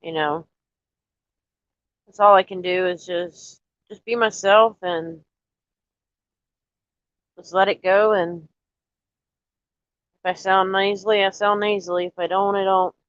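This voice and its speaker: A woman chatting casually to viewers on a live stream, a little nasal and stuffy from allergies, rambling in a relaxed way. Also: mid-range voice, measured pace, long pauses, dry recording, dull audio. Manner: rambling, relaxed, talks straight to chat.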